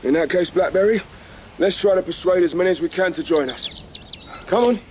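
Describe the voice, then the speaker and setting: A man's voice: high-pitched, a little fast, darkish tone, slight background noise, lightly acted. A male actor playing a tough, defiant rabbit fighter in a radio drama, with a blunt, sardonic and commanding manner. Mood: resolute.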